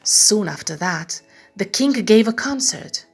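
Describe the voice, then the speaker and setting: A woman reads a scripted bedtime story as narrator. Her delivery is unhurried and expressive, with a soft husky edge, and music plays underneath. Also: mid-range voice.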